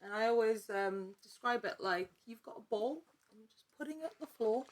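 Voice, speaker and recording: A woman's voice: a little high, lively intonation, brisk. A woman who works as an actor, chatting casually and enthusiastically as an interview guest, with emphatic wording.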